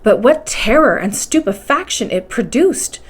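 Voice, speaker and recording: Higher-pitched woman reading a story expressively. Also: lively intonation, a little slow, breathy edge. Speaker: library storytime host and reader. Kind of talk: expressive bedtime audiobook-style reading of a classic adventure novel.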